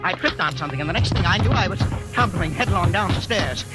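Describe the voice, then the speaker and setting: An actor playing a timid, henpecked husband in a 1940s radio drama, with a hesitant, flustered manner. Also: male voice, high-pitched, lively intonation, quick pace. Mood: anxious. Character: timid, henpecked husband, a nervous dreamer telling his tale. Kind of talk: 1940s radio acting, first-person narration and dialogue. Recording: old radio broadcast with music.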